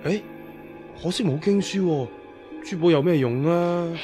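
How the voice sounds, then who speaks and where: Man acting cheeky young rascal, crafty, greedy, excitable, higher-pitched, Cantonese radio drama, clear music behind. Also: a little slow, natural voice. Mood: disappointed. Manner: excitable, sly, colloquial.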